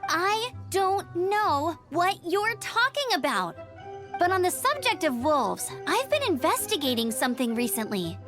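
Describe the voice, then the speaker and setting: A perky young female scout in a video game. Her voice is very high and animated, eager and brisk, with faint music behind it. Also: exaggerated voice. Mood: baffled. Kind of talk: video game character acting.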